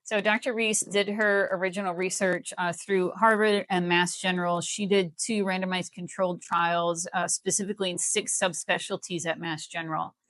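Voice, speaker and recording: A woman's voice: on the low side, steady tone, moderate pace, hardly any pauses, a bit bright, no echo. An American woman explaining things in a live interview as a guest, in an emphatic, persuasive manner. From Missouri, United States. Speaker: healthcare company CEO, interview guest.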